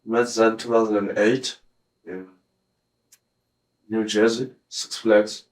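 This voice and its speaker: A South African man in his thirties, in a police interview room, recounting his story haltingly with many restarts. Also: fairly low pitch, little pitch movement, low-quality recording. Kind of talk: recounting events under questioning.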